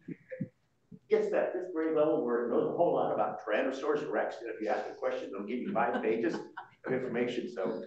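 An older man presenting informally at a board meeting, explaining things in a halting way. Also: mid-range voice, a little mellow. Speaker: association board member presenting. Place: large indoor meeting room. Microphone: far room microphone. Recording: muffled, very echoey room, distant mic.

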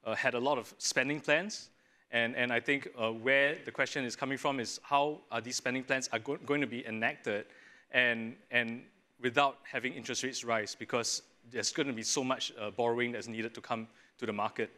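A young man with a bright, crisp voice explains his view as a panel strategist. He talks fluently with small restarts, recorded on a lapel mic in a studio. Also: mid-range voice, fairly even intonation, normal speed. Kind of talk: panel discussion answer. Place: studio stage set.

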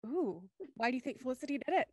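A woman's voice: sits high, big pitch swings, fast, darkish tone, dry recording. A young woman hosting a livestream show in an upbeat presenting style, speaking into a studio microphone. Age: young adult. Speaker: show host. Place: small room at home, video call. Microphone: studio microphone on a stand, headphones.

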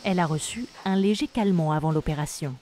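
A woman reading a scripted documentary voice-over in a measured, even way. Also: deep voice, noisy background. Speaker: documentary narrator. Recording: voice-over with music behind. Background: music.

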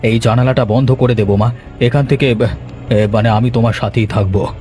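A man's voice: medium pitch, fairly even intonation, moderate pace, mellow, faint music behind, lightly acted. Concerned, soothing grown son played by a man. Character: reassuring grown son of a frightened mother. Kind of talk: horror cartoon voice acting.

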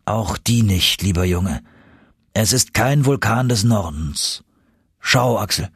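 Guy doing an older professor uncle for an audiobook, agitated n bossy, kinda husky, close dry sound. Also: fairly low pitch, expressive, slow, dry recording, full character voice. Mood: matter-of-fact. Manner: agitated, emphatic. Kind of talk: audiobook character acting.

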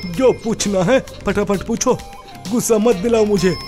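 A man's voice: high-pitched, normal speed, a little mellow, soft background music, lightly acted. A man voicing an irritable, blustering old village cleric in a cartoon, grumbling indignantly. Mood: irritated. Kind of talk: cartoon voice acting.

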